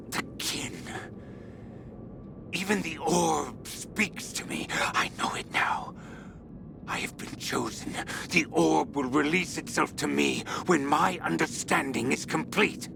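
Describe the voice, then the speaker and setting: Slow, obsessed researcher unraveling. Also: male voice, on the high side, creaky, background noise, full character voice. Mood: hushed, awed. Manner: halting, brooding, breaking into whispers and cries. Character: obsessed male researcher descending into messianic madness. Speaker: expedition leader recording audio logs. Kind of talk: dramatic video game audio log monologue. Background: background music.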